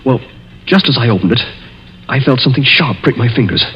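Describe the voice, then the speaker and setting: A man's voice: medium pitch, expressive, brisk, lightly acted. An actor playing a nerve-racked young man hunted by his stepfather, tense and dramatic in the 1940s radio style. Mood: tense, bitter. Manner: tense, agitated, dramatic delivery. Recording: dull, noisy old 1940s radio recording.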